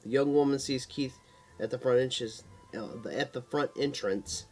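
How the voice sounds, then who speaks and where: A man's voice: medium pitch, a little slow, darkish tone, soft background music. A man narrating a supernatural horror story in a dramatic read-aloud style, sometimes stumbling over the text.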